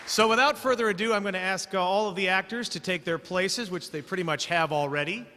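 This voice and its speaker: A man hosting a live show, addressing the audience informally and haltingly, repeating phrases. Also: high-pitched, sharp tone, a faint crowd, a little hiss or hum. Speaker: host of a live theater show. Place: on stage in a theater. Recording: reverberant theater hall.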